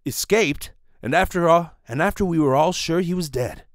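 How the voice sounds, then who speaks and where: Guy doing excitable gee-whiz cop buddy, highish voice, old radio serial. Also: normal speed, no room sound, studio quality, close to an everyday voice. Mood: earnest. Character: Pat, earnest excitable police sidekick.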